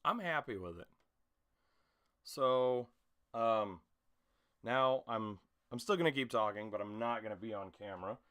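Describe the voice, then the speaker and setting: A man's voice: fairly low pitch, measured pace, long pauses, fairly bright tone. A man chatting casually to camera in an easygoing, self-deprecating, rambling way as an amateur voice actor.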